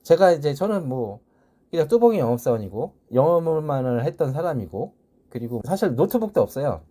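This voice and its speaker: A man's voice: a little high, sing-song, brisk, mellow. A man presenting a casual tutorial, explaining things step by step in polite speech. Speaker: tutorial presenter, salesman who codes.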